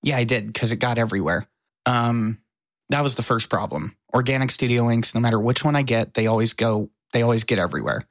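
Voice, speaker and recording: A man's voice: mid-range voice, fairly quick, dry recording. American man in dry, close podcast chat. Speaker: podcast co-host. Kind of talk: casual chat.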